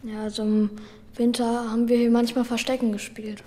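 A woman's voice: on the high side, level tone, fairly quick, warm tone, natural voice. A woman playing a sad, thoughtful child in a radio play, speaking hesitantly and matter-of-factly. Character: Bela, sad thoughtful child with a comatose brother. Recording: long hall reverb.

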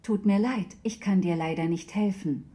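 Female actor as a smart-home assistant: mellow tone, neutral, measured, emotionless, precise radio-play answers. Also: medium pitch, natural voice. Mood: neutral, polite.

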